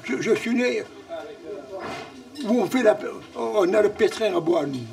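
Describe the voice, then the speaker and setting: High, gravelly man with a Marseille accent. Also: expressive, unhurried, a little mellow, recorded from a distance. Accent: Marseille French. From Marseille, France. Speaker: restaurant owner. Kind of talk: casual interview.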